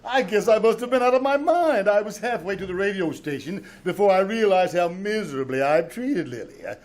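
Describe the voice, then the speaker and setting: An actor playing a fretful, demanding, theatrical husband in an old-time radio comedy. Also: male voice, high voice, expressive, brisk. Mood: worried. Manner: dramatic, agitated. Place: small theater stage, live performance.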